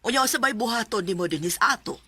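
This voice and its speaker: A woman playing a concerned, resigned mother in a radio drama, husky, with a Filipino Bisaya accent. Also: on the high side, expressive, fast talker, pushed and bright, heavily acted. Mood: dismayed, resigned.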